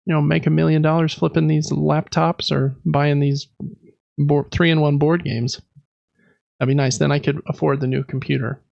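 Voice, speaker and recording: A man's voice: a little high, little pitch movement, moderate pace, creaky, darkish tone, no room sound, highs cut off. An American man in his thirties chatting casually as a podcast co-host, rambling along with self-deprecating humor. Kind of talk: casual chat.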